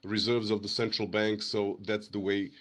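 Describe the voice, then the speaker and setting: Low-voiced man, hesitant explainer. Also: little pitch movement, a little slow, fairly bright tone. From Serbia. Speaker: guest expert, former central banker. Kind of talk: interview answers, explanatory.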